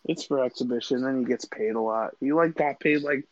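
A man's voice: medium pitch, brisk, dry recording, dull audio. A man co-hosting a podcast in casual, profane banter, using a lot of bro-style slang. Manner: slangy, says "bro" often. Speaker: podcast co-host.

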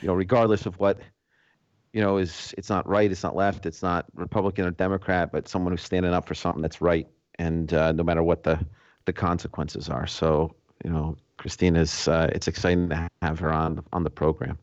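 A man co-hosting a podcast remotely, talking in a rambling, halting way. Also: sits low, level tone, no echo, lo-fi sound. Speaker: podcast co-host. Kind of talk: podcast interview.

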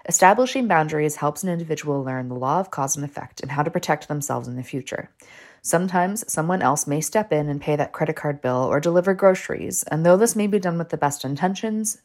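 A woman with a stuffed-up cold, hosting a training podcast and reading a scripted talk in a calm, measured way, slowing to stress key terms. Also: deep voice. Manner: calm, measured explanation with slow, deliberate emphasis on key terms.

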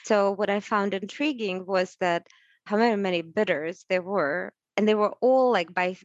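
A woman speaking as a guest in a conversational interview, chatty and animated and full of questions. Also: medium pitch, normal speed, no echo. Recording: dull, muffled recording.

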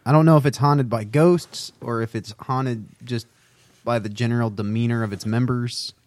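A man's voice: medium pitch, fairly even intonation, normal speed, a little mellow, no echo. A man co-hosting a podcast in casual banter, with a wry way of talking. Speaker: podcast co-host.

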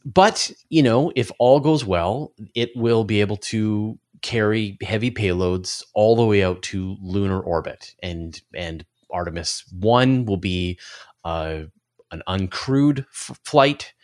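A man giving explanatory answers as an interview guest on a podcast, halting as he thinks aloud. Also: on the low side, darkish tone. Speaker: interview guest, space commentator.

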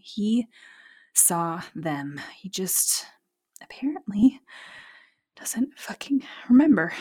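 A woman playing a sleepless, anxious and bitter young woman, talking haltingly and sardonically into an audio diary in a found-footage drama. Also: medium pitch, a little mellow. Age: young adult. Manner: sardonic, weary, halting, sweary, sighing.

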